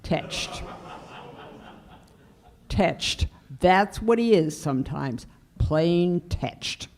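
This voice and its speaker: A woman's voice: lowish voice, animated, a crowd in the background, exaggerated voice. A woman playing a scolding, grumbling working-class English housekeeper in a live radio comedy-mystery. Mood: indignant, muttering. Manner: grumbling, dialectal, drawn-out. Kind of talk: old-time radio drama acting on stage.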